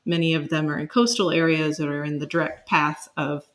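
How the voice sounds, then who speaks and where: A woman with a slightly low voice speaks as an expert podcast guest. She explains things in a measured, academic manner, carefully qualifying each point. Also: flat delivery. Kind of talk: explanatory interview talk.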